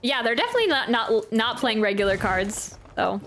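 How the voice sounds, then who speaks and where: A woman's voice: a little high, sing-song, brisk, fairly bright tone. A woman giving casual gameplay commentary, chatty and rambling, often interrupting herself mid-sentence. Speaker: streamer reacting to a video game.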